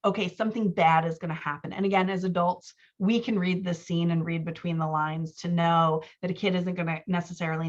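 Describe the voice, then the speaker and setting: Low-pitched woman coaching, slightly dull audio. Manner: informal, explanatory, acts out example lines. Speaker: writing coach. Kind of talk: one-on-one writing feedback, explaining edits.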